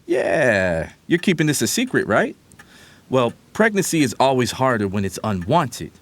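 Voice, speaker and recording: A man acts out a protective family lawyer in an audio drama. He is earnest and emphatic, reassuring yet insistent. His pitch moves in wide, animated swings. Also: normal speed, no echo.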